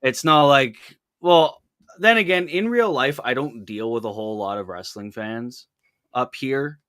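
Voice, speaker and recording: A man's voice: medium pitch, measured pace, a bit bright, dry recording, clean studio sound. A man in his early twenties from Canada, chatting casually as a podcast guest with wry, offhand swearing. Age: early 20s. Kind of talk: casual chat.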